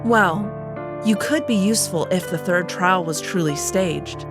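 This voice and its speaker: A woman's voice: on the low side, measured pace, over music, close to an everyday voice. A woman playing a stern, bitter wolf aristocrat in a visual novel, speaking in a curt, formal, dismissive way. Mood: cool, businesslike. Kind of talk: visual novel character acting.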